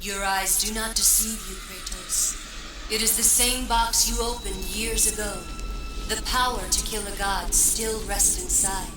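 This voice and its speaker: An actress playing a solemn, knowing guide to divine secrets in a video game, with measured delivery. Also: female voice, medium pitch, fairly even intonation, close to an everyday voice. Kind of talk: dramatic video game acting. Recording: echoey, distant, music behind. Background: music.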